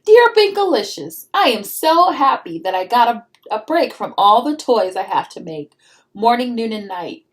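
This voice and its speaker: A woman's voice: a little high, very animated, moderate pace. A woman voicing a cheerful, busy Christmas elf reading out a storybook note in an emphatic singsong.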